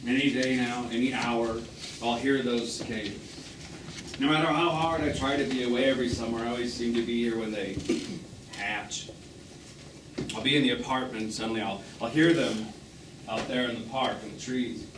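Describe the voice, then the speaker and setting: A man's voice: medium pitch, normal speed, close to an everyday voice. A man acting a melancholy, tender, wounded romantic in stage drama dialogue, lyrical and pointed in delivery. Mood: wistful. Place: theatre stage, recorded from the audience distance. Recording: echoey, distant, noisy live theatre recording.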